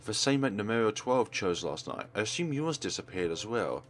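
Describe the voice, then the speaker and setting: Low-voiced man reading dramatically with lively swings. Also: a little fast. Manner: reads in character, shifting between parts. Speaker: let's play host. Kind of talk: dramatic read-aloud of visual novel text. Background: soft game music.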